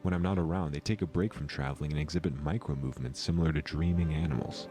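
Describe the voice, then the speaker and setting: A man playing an obsessive amateur researcher in a scripted analog horror documentary, narrating in a measured, clinical, earnest way. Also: rumbling low, fairly even intonation, dark tone, faint music behind. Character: male amateur researcher obsessed with otherworldly creatures.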